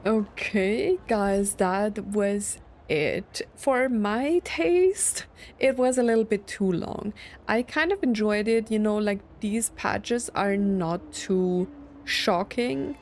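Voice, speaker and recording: A woman's voice: mid-range voice, slow, darkish tone. A woman hosting a gaming video, giving casual commentary and reactions as she plays through.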